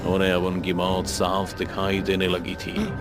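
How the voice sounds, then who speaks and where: A man's voice: low-pitched, completely flat delivery, unhurried. A man narrating a scripted horror story as a storyteller. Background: music.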